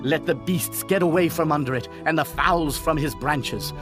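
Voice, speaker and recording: A proud king of ancient Babylon, played by a male actor, pitched fairly high over prominent background music. He declaims like a monarch issuing decrees, formal and zealous, in a scripture audio drama. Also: moderate pace, close to an everyday voice. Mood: loud, commanding.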